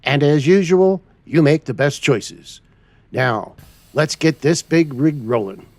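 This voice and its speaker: A man's voice: on the high side, lively intonation, slow pace, a little mellow, no room sound. A man hosting a trucking game show in a theatrical, upbeat announcer manner. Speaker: host playing a trucking game. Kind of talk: playful pulp-hero show hosting.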